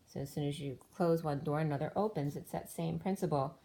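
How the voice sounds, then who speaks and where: A woman's voice: low-pitched, brisk, vocal fry, dark tone. A woman giving a solo spiritual tarot reading, explaining cards and numbers one by one while losing her voice. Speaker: tarot reader.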